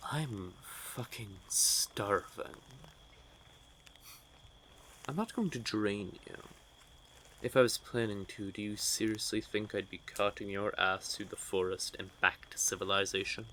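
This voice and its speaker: Man acting as a hungry male vampire, lowish pitch, teasing and smug, flirty menace, intimate audio drama, noisy ambient backdrop. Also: measured pace, pushed and bright. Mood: hungry, irritated. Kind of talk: audio roleplay, speaking intimately to one listener. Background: outdoor night forest ambience effects.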